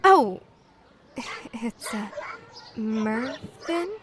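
Slow-spoken, guarded young mermaid. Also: female voice, a little high, animated, a little hiss or hum. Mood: evasive, puzzled. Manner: hesitant, evasive, naive. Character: gentle, guarded mermaid passing as an ordinary young woman. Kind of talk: naturalistic audio drama acting.

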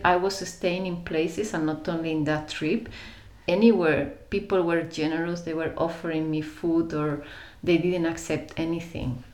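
Woman, Spanish accent, low voice, reflective conversational storyteller with halting delivery, roomy recording. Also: a little mellow. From Spain. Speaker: interview guest. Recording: roomy echo around the voice.

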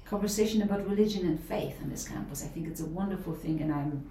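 A woman's voice: on the low side, fast talker, mellow, slight background noise. A middle-aged woman from Poland with a Polish accent, a professor answering interview questions thoughtfully, with many fillers and restarts. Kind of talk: interview conversation. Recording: roomy, a bit distant from the mic, faint ambience.

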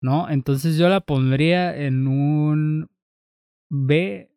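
A man's voice: on the high side, darkish tone, clean tone, dry recording, studio quality. A young man with a Mexican accent, co-hosting a casual podcast chat full of slang. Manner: slow, drawn-out, casual slang. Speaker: podcast co-host.

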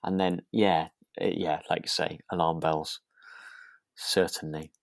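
A man chats as a podcast co-host, feeling his way tentatively through his thoughts. His voice is deep, with plenty of gravelly creak. It is recorded dry, with hardly any room sound. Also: little pitch movement, unhurried, no room sound. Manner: hesitant, tentative, thinking aloud. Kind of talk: relaxed casual discussion of a radio drama.

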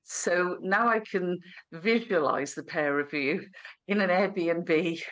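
A woman's voice: on the low side, takes it slowly, fairly bright tone, highs cut off. A woman hosting a conversational interview, reflecting back on what guests say and asking affirming questions. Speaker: podcast host, interviewer.

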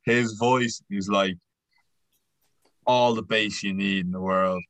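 A man's voice: on the low side, steady tone, slow, a bit bright, dry recording. A young man co-hosting a podcast in loose, sweary casual chat. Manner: loose and sweary, laughs often. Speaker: podcast co-host.

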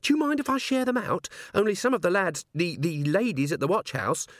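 Man voicing a shy, high-pitched nervous young dwarf woman. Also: big pitch swings, a little fast, a bit bright, no room sound, studio quality. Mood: eager, shy. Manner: hesitant, stammering, fumbling over words. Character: shy, nervous young female dwarf constable, awkwardly polite.

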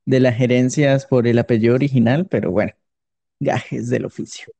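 A man's voice: mid-range voice, steady tone, moderate pace, gravelly, dark tone, slightly rough. A man with a Colombian accent chatting casually and jokily as a streamer being interviewed. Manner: jokey. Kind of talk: casual improvised chat.

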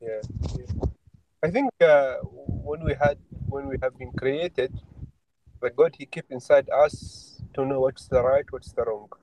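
A man from Oman speaking in a casual chat, curious and asking many questions, with halting phrasing. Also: mid-range voice, steady tone, a little mellow, poor audio. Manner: curious, asks many questions, halting non-native English. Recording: online call audio with faint noise.

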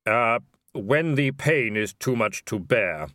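A man voicing the calm, patient figure of Death, speaking in a flat, measured way. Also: mid-range voice, no room sound, studio quality. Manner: flat, measured, even delivery.

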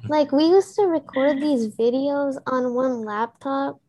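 Young woman, urdu first language, talks slow, high mellow voice. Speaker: student guest. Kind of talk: casual chat.

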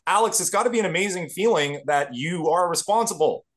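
A man's voice: high voice, expressive, fairly quick, fairly bright tone. A Canadian man co-hosting a podcast, speaking with enthusiasm and frequent restarts. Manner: enthusiastic, repeats words as he sets up a question. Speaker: podcast co-host. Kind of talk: casual podcast chat.

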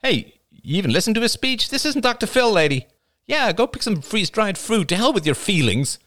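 A man's voice: high-pitched, very animated, a little fast, a bit bright, no echo, clean studio sound, voice pushed up. Man doing a brash, scornful middle-aged heckler.

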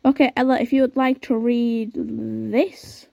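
A woman's voice: a little high, level tone, measured pace, gravelly, mellow, dull audio. A young woman hosting a podcast, chatting casually and reading aloud with lots of fillers and unsure asides. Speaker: podcast host.

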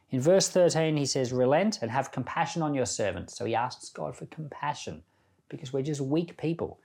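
A man's voice: medium pitch, darkish tone. A man who is a pastor and podcast host, giving informal, plain-spoken Bible teaching and prayer, explaining by paraphrase.

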